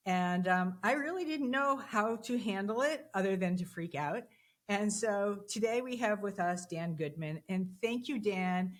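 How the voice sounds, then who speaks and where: A woman hosting a podcast interview in a chatty, casual way, with fillers and repeated words. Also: mid-range voice. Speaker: podcast host. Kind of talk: conversational interview.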